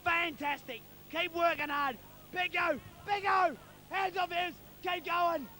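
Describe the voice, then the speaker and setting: Male Australian footy co-commentator, piercing and high, voice strained from shouting, urges his team on in slangy, biased bursts. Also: slow. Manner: excited, urging players on, colloquial. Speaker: amateur football co-commentator. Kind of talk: partisan live sports commentary.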